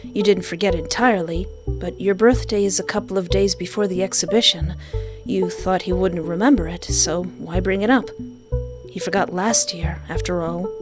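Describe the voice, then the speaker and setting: A middle-aged woman streaming a game, reading its story aloud as expressive narration with casual asides. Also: lowish voice, normal speed. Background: game music.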